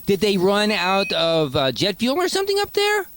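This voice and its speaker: A man's voice: high voice, huge pitch swings, moderate pace, a bit bright, slight background noise. A man hosting a comic podcast interview in a casual, wry way, playing along with the bit. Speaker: podcast host.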